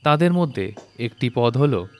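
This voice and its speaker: A man's voice: mid-range voice, expressive, measured pace, a little mellow, a little hiss or hum. A man narrating a literary story from a script in a recording studio.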